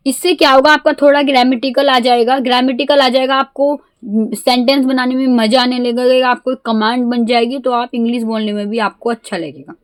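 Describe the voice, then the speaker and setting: Indian woman vlogging, fairly high voice, halting english w hindi mixed in, dry close mic. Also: fairly even intonation, dry recording. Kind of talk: casual vlog.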